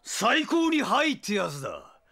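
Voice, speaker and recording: A man's voice: high voice, wildly swooping pitch, creaky, bright, forceful tone, full character voice. Male anime drama villain: arrogant vampire, theatrical, wildly swinging delivery, long reverb. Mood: manic, exultant. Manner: theatrical, sneering, drawn-out words, maniacal. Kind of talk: anime audio drama acting.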